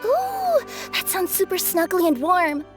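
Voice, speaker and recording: Bubbly excitable young game girl, super high cartoony voice, swoops around. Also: measured pace, music in the background, heavily acted. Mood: delighted. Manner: excitable, animated. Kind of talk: cartoonish video game voice acting.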